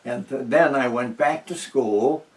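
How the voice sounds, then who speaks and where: A man's voice: medium pitch, unhurried, darkish tone, far from the mic, rough recording. A man in his nineties from New Hampshire, recalling his life in a home oral history interview, rambling with many restarts and fillers. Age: 90s. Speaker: interviewee, veteran.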